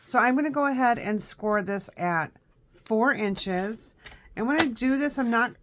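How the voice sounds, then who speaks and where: A woman's voice: on the high side, animated, unhurried. Woman in her fifties, home craft room, webcam, sniffly, rambling how-to talk, faint hiss behind her. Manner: chatty, many ums and uhs, step-by-step instructions. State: sniffly from allergies. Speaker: craft instructor. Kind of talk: live craft tutorial.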